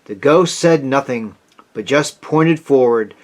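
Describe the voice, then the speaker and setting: A man reading a children's story aloud as a scripted narration, with expressive, dramatic delivery. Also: mid-range voice, slow.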